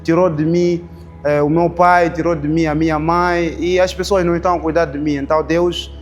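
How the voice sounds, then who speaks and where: A man in his twenties with a Mozambican Portuguese accent, giving an earnest, reflective testimony in an interview and pausing on small fillers. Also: fairly high pitch, little pitch movement. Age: 20s. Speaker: Bible school student. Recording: soft music underneath.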